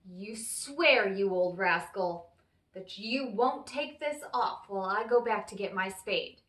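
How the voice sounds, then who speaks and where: A woman's voice: mid-range voice, expressive, slow pace, fairly bright tone. A woman voicing a bold, scheming young man in a storybook folktale, speaking in a self-assured way. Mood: stern. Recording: roomy, echoey, distant microphone.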